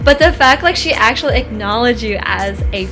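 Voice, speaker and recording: Giggly high-voiced woman chatting. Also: expressive, brisk, fairly bright tone, faint music behind. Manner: giggly, laughs often while telling stories. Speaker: podcast co-host. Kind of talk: casual chat.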